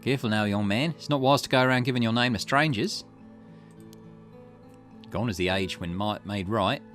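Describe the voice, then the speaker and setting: A man's voice: fairly low pitch, moderate pace, fairly bright tone, natural voice. A man voicing an eccentric, secretive private detective in a visual novel, lecturing pompously. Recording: music behind the voice.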